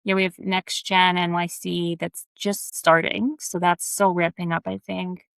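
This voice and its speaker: A woman's voice: lowish voice, level tone, unhurried, gravelly, a bit bright, smooth, dry recording. A young woman from Texas, co-hosting a podcast in casual chat, bubbly and full of "like" fillers. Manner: chatty, bubbly, lots of "like" fillers. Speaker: podcast co-host.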